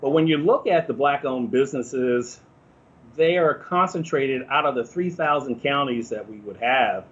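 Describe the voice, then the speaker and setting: A man's voice: mid-range voice, normal speed. American man on dull lo-fi audio. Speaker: interview guest. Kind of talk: conversational opinion and commentary.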